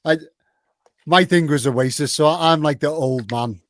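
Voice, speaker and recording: A forty-year-old man hosts a casual podcast chat, enthusiastic and quick to laugh. His voice sits a bit higher than most men's. It is recorded close and dry. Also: no room sound. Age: 40s. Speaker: podcast host.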